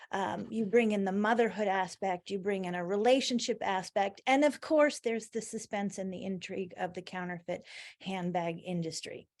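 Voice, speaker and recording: A woman hosting a book club, asking interview questions in a halting way with fillers. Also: mid-range voice.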